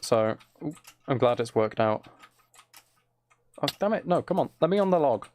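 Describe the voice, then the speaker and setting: A man's voice: mid-range voice, animated, normal speed, a little mellow, a little hiss or hum. A man chatting casually and teasingly to his viewers while playing a game on a livestream.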